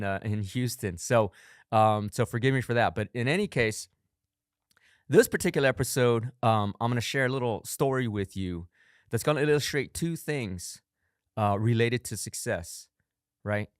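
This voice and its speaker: A man's voice: on the low side, moderate pace, clean tone, no echo. A man in his forties hosting a podcast in a studio, telling stories with upbeat enthusiasm in a motivational monologue. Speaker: podcast host.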